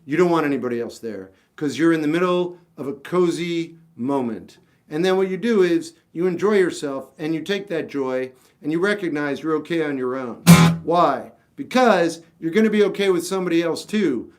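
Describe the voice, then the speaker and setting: A man in his fifties chatting casually on a livestream, answering viewers with rambling, self-deprecating advice and lots of restarts. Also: a little high. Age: 50s. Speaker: livestream host.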